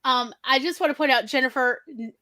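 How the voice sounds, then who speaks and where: A woman's voice: high voice, a little fast, bright, forceful tone, no echo. A woman in her forties with a Mississippi Southern accent, hosting a casual chat, chatty and reassuring, often calling people honey. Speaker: podcast host.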